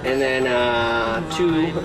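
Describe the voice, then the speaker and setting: A male pastry chef explaining a dessert on a cooking show in a plain, measured way with a few fillers. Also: medium pitch, over music, low-quality recording. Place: restaurant kitchen.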